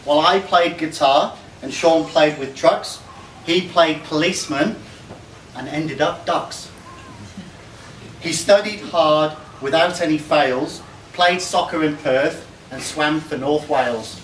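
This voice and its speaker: Slow, higher-pitched man reading from afar. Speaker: reader of a birthday tribute poem. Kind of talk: reading a comic rhyming poem aloud to a live gathering. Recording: roomy, noisy live recording from far off.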